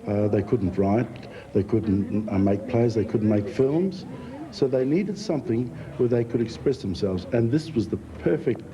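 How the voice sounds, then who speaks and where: A middle-aged Australian man talking reflectively in an interview, in a measured, halting way. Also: mid-range voice, crowd noise. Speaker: theatre founder, former teacher. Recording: muffled, faintly noisy recording.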